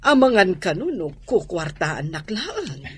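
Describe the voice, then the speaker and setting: An actress with a Filipino accent playing a well-off, smitten restaurant owner in a radio drama. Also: female voice, fairly low pitch, very animated, unhurried, darkish tone, a little hiss or hum. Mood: fond, teasing. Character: well-off woman restaurant owner smitten with her younger driver. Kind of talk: Ilocano radio drama acting.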